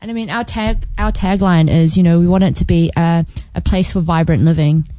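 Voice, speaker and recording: A woman's voice: lowish voice, steady tone, brisk, mellow, no room sound. A woman with a New Zealand accent, a guest in a radio studio, talking in an eager, chatty way. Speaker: guest, founder of a website. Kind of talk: casual studio interview chat.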